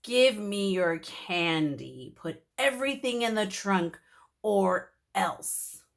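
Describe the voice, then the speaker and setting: A woman voicing a menacing mummy man who gloats with a slow, drawn-out evil laugh. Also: medium pitch, expressive.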